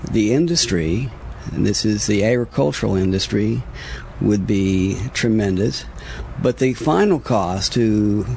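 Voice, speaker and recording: Male American official, interviewee: measured and formal, very unhurried delivery, halting pauses, faint background noise. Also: on the low side, a little mellow. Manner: measured, hesitant, formal wording with frequent pauses. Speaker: government veterinary official, interviewee. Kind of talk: explanatory interview answers.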